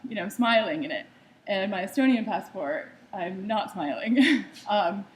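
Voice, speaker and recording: A woman's voice: medium pitch, lively intonation, moderate pace, rough recording. Young woman giving an artist talk. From United States. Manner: conversational with frequent ums and uhs. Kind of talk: slide presentation talk.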